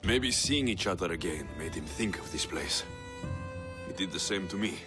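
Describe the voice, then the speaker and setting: An earnest young mercenary. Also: male voice, deep voice, normal speed. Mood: calm. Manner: earnest, steady, blunt. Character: young male mercenary warrior, determined. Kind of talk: video game cutscene acting. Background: game score music.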